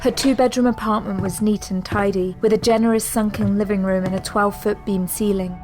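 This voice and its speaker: A woman narrator reading a scripted audio drama in a measured storytelling manner. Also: medium pitch, fairly even intonation, a little mellow, soft background music. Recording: clear background ambience noise.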